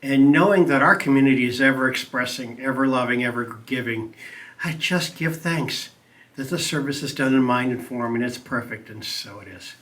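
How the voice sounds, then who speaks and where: Bright-toned man leading prayer, off mic. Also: medium pitch, distant mic, rough recording. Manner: earnest, measured prayer cadence. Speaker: practitioner and guest speaker. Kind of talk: spoken prayer. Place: church meeting room.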